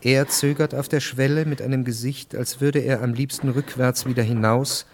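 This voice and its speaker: A man reading scripted literary narration as the narrator of a radio drama, measured and detached. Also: medium pitch, fairly even intonation, slight background noise.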